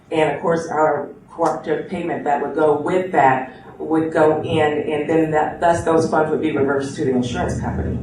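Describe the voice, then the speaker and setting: Fairly high voiced guy, earnest, kinda halting, super echoey and far from mic. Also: darkish tone, a little hiss or hum. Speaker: panel guest. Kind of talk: debate remarks. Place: tobacco shop, live radio show.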